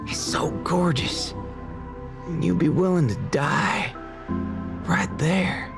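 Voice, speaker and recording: An actor playing a folksy, cocky young cowboy gunslinger in a video game, with a Southern US drawl. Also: male voice, on the high side, takes it slowly, vocal fry. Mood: wistful, folksy. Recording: game music under the voice.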